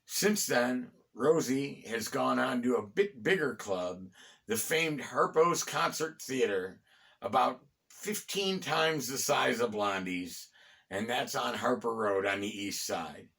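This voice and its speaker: A middle-aged American man hosting a conversational, storytelling history talk, phrasing deliberately with pauses and dropping in wry asides. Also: medium pitch, animated, gravelly, a bit bright. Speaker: host and storyteller of a local history series. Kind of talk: conversational bedtime-story style history narration.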